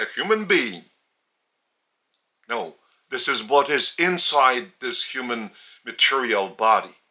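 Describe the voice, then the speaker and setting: A man with a Lithuanian accent, a native Lithuanian speaker, giving a spiritual teaching in a deliberate, halting way with emphasis on key words. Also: medium pitch, bright, forceful tone. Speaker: spiritual teacher and religious leader. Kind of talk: spiritual sermon addressed to camera. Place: indoors.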